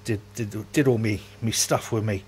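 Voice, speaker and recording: Chatty man, low voice. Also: steady tone, moderate pace, slight background noise. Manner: chatty, self-mocking, rambling with restarts. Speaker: home brewer vlogger. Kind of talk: casual rambling vlog. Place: cluttered home brewery workshop, indoors.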